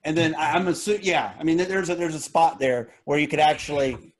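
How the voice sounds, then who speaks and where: A man's voice: fairly high pitch, fairly quick. A man in his late thirties in a casual group chat, chatty and pointing things out as he talks. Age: 30s. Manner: chatty, shows things while talking.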